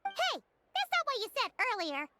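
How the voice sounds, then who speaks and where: Tiny fairy sidekick girl, super high and bouncy, video game, music blaring behind. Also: huge pitch swings, moderate pace, full character voice. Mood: flustered. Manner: exclamatory, squeaky chatter.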